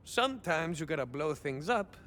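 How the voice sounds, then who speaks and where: A man's voice: fairly high pitch, very animated, normal speed, fairly bright tone. An actor playing a cocky, rambling cyborg ex-soldier in a video game, with a Mexican-American accent and bits of Spanish mixed into his hesitant, theatrical talk. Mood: upbeat, dreamy. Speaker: playable game character. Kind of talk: video game idle monologue acting.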